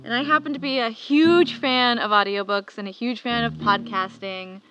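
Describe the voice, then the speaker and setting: A woman's voice: fairly high pitch, moderate pace, sharp tone, highs cut off. A woman author talking conversationally and earnestly about her own work, articulate in her phrasing. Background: background music.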